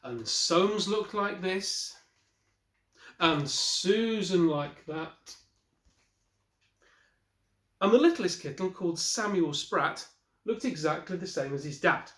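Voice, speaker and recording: A kindly storybook mother cat, read by a man, gentle and deliberate. The pitch is slightly raised and the room adds a clear echo. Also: animated, heavily acted. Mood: proud. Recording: roomy, echoey indoor recording.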